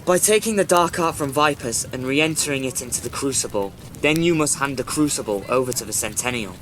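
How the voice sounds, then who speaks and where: A man's voice: medium pitch, animated, a little fast, fairly bright tone, a little hiss or hum, lightly acted. A man playing a stern, judgmental servant of a god in a fantasy audio drama, formal and admonishing. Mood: grave.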